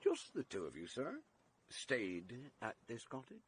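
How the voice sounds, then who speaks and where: An actor playing a ponderous English village police sergeant, politely insinuating, in a vintage radio drama. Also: male voice, lowish voice, wildly swooping pitch, slightly rough, full character voice. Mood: puzzled, probing. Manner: ponderous, deliberate, deferential yet insinuating.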